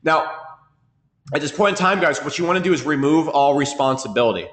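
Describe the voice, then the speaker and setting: A man's voice: a little high, a bit bright. A man teaching a marketing webinar, sniffling from a cold and checking in with "okay?" again and again. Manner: fast, repetitive, keeps asking "okay?". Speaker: marketing trainer.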